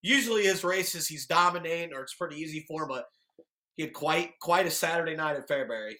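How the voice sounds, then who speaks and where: An American man with a raspy voice, hosting a sports podcast and recapping action in a casual, chatty way. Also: fairly high pitch, a little fast, bright, forceful tone. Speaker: podcast host.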